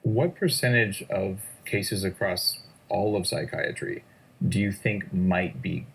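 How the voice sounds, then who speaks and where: A man interviewing a guest, asking measured, direct questions. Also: sits low, creaky, slightly rough, rough recording.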